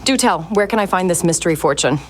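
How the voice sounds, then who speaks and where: Audio drama heiress, played by a woman: sardonic, suspicious, short on patience, lowish pitch, slight noise behind. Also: animated, fast, close to an everyday voice. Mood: mocking disbelief. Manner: dry, sardonic, guarded. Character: wry, sarcastic, suspicious woman, impatient heiress.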